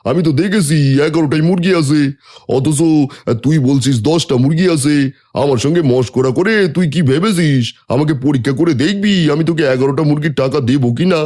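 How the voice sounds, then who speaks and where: A man doing a friendly village chicken trader in an animated folk tale who teases and jokes with an old friend. His voice is a bit high, yet soft and mellow. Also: a little fast, natural voice. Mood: jovial. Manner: friendly, jovial, teasing. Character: middle-aged village chicken trader Ratan.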